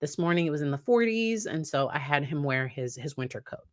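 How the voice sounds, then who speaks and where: Woman, 30s, psychologist host, very low deep voice, dry close sound, easy explaining style. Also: animated, fairly quick, no echo. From United States. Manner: conversational, warm laughs, acts out quoted dialogue in a higher voice. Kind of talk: casual educational talk to camera.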